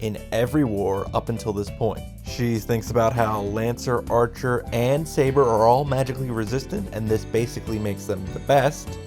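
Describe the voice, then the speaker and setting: A man narrating a scripted story recap, breaking into sarcastic, exasperated reactions and swearing. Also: medium pitch. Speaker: host of visual novel reaction and summary videos.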